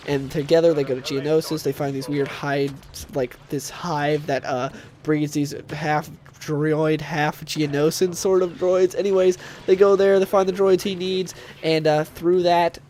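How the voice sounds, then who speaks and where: A young man with a stuffed-up, nasal voice from a cold talks a little high for a man, rambling through a casual comic review. He keeps stopping to restart his sentences and fills gaps with ums and uhs. A faint hiss of noise sits under the recording. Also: mellow. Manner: rambling, with frequent fillers and restarts.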